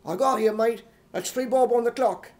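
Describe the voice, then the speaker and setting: A man's voice: very high-pitched, moderate pace. A man voicing a blunt, matey taxi driver with a put-on British accent, in radio comedy style. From United States. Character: gruff, plain-spoken male London taxi driver. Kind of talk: 1950s radio comedy acting.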